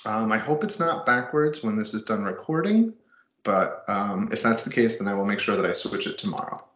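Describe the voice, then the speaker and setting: A man reads a picture book to children with casual asides. His pitch is lively and expressive, with dramatic emphasis. The home recording sounds somewhat dull and echoey. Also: moderate pace. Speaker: storybook reader.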